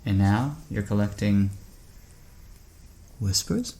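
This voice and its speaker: A man acting in an audio drama as a lonely, heartsick driver lost at night, speaking in a halting, questioning way. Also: lowish voice, animated, warm tone. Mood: hesitant, wary.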